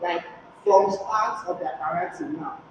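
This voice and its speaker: A man's voice: high-pitched, measured pace, highs cut off. A young man with a Nigerian accent in a casual debate, insistent and opinionated. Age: young adult. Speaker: participant in a music debate. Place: indoor gym, medium-sized room. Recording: echoey, noisy gym room pickup.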